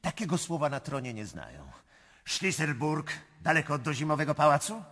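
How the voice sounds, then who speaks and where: An actor playing a bold, mocking courtier in a radio drama, with theatrical, declamatory delivery. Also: male voice, a little high, very animated, moderate pace, a bit bright, heavily acted. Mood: goading, mocking. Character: bold, mocking courtier goading his empress.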